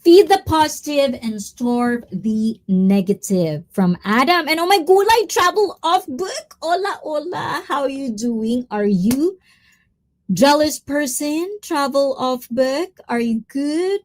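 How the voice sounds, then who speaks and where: A woman's voice: sits high, very animated, slow. A woman hosting a live stream, chatting casually, reading viewer comments and mixing in Tagalog words. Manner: chatty, mixes in Tagalog words, drifts between topics.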